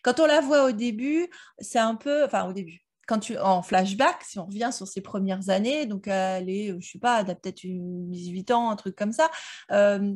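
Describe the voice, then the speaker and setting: A woman with a mid-range voice in a casual book club discussion. She tells things in a colloquial, animated way and wanders into asides as she goes. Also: mid-range voice, moderate pace. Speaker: book club podcast co-host. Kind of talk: casual discussion of an audio drama.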